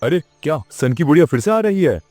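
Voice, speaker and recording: A man's voice: a little high, sing-song, brisk, a little mellow, no room sound, faint music behind. A man playing a weary, exasperated village police station chief who grumbles sarcastically in a children's cartoon. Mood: taken aback. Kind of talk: children's cartoon moral story acting.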